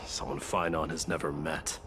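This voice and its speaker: An actor playing a proud, stern immortal warrior prince in a video game, speaking in a blunt, haughty and measured way. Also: male voice, level tone. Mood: grim, composed. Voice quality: low-pitched. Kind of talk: dramatic video game voice acting. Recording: game music behind voice.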